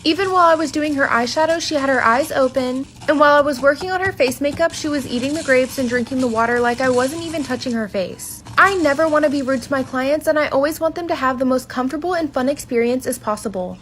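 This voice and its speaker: A woman narrates a prepared personal story in a high voice. Her delivery is orderly and explanatory, with faint noise behind her. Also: fast talker, hardly any pauses, fairly bright tone. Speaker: makeup artist.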